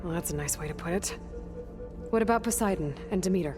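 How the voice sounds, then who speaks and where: A woman's voice: lowish voice, fast talker, music in the background, natural voice. A woman playing a determined, practical young heroine in a video game, speaking in a direct, understated way. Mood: practical, wry. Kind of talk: video game cutscene dialogue.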